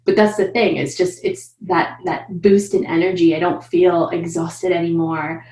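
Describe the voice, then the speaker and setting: A woman in her thirties, a coaching client, talking in an animated, upbeat way over a video call from a room at home. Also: on the low side, normal speed, reverberant. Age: 30s. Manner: animated, upbeat, says she is loud. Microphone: video call webcam.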